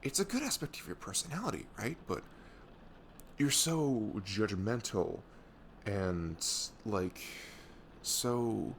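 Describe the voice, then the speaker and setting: Guy playing caring best friend in asmr roleplay, gravelly low voice, soothing n halting, bit of background noise. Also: big pitch swings, exaggerated voice. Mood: gentle, earnest. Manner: halting, soothing, earnest, breaking into whispers. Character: caring, protective young male best friend comforting someone. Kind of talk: ASMR audio roleplay, intimate casual chat.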